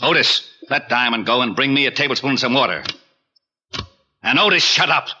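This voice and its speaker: Exasperated, sharp-edged police lieutenant. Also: male voice, mid-range voice, brisk, breathy edge. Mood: grudging, gruff. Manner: irritable, sardonic. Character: exasperated, middle-aged, grumbling police lieutenant. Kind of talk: 1940s radio acting. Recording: muffled 1949 radio recording.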